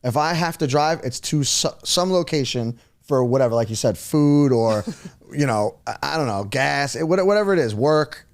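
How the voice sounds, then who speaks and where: A man's voice: medium pitch, expressive, normal speed, gravelly. Ranting New man. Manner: ranting, emphatic. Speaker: podcast guest. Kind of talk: casual chat.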